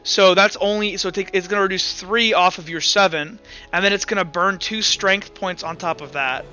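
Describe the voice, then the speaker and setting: A man with a high, bright voice runs a tabletop roleplaying game. He talks casually and thinks aloud, counting and narrating as he goes. The audio is slightly muffled, with music behind. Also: normal speed. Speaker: game master. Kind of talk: running a tabletop roleplaying game, improvised rules talk and narration.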